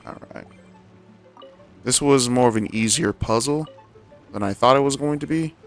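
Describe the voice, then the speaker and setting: A man's voice: mid-range voice, normal speed. A man giving casual, slangy gameplay commentary, talking to himself and his viewers. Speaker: game streamer. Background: video game music.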